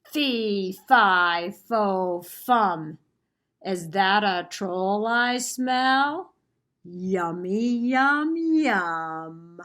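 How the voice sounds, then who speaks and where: A woman's voice: mid-range voice, expressive, drawn-out words, a bit bright. A woman voicing a bellowing male giant in a read-aloud storybook. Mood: menacing, gleeful. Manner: bellowing, sniffing.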